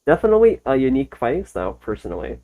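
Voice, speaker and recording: Animated woman giving casual reactions. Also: very deep voice, racing, phone-quality sound. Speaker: reaction video host. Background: faint music.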